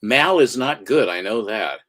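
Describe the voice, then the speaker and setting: A man co-hosting a casual livestream chat, reacting with quick, animated remarks. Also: mid-range voice.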